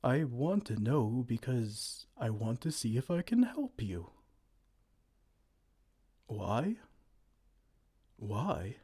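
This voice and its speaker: A man voicing a gentle, kind male giant who talks softly and soothingly in an ASMR roleplay. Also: medium pitch, big pitch swings, drawn-out words, pauses often, slightly rough, heavily acted. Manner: soft, soothing, comforting.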